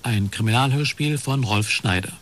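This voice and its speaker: Mid-pitched man announcing radio credits. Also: normal speed, fairly bright tone. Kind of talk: formal scripted announcement.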